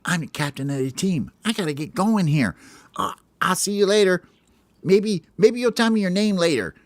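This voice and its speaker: A man's voice: high-pitched, animated, normal speed, no room sound, voice pushed up. A man voicing a cocky, lovestruck young male sparrow who captains a basketball team, talking in a breezy, boastful way. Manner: boastful, breezy, easily distracted.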